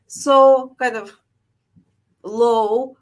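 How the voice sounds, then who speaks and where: A woman from Latvia, a surgeon, speaking as an interview guest in a halting way, repeating words as she goes. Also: on the high side, steady tone. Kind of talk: interview conversation.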